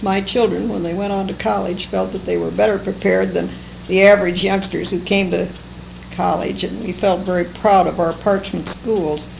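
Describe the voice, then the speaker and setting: Elderly American woman, creaky voice, noisy recording. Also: medium pitch, fairly even intonation. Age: older, seventies or above. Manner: unhurried, halting, fond recollection. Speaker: interviewee, longtime local resident. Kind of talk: oral history reminiscence. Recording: noisy amateur oral history recording.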